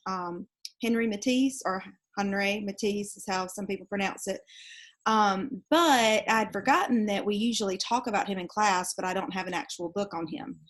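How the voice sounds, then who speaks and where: A woman teacher talking casually to her students, explaining as she goes and correcting herself along the way. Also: medium pitch. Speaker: teacher reading aloud to students. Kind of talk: casual instructional talk to students.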